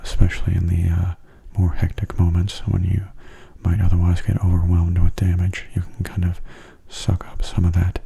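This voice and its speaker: Soft, breathy low-voiced man, very mellow. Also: completely flat delivery. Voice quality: soft, breathy, often half-whispered. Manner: gentle, unhurried, low-key. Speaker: gamer giving gameplay commentary. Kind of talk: soft-spoken ASMR gameplay commentary.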